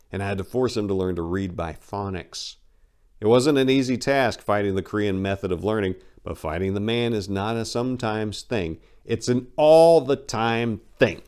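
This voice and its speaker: A man's voice: on the low side, animated, moderate pace, darkish tone, clear, resonant tone. A man reading a memoir aloud as host, with a theatrical, emphatic delivery. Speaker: channel host and reader.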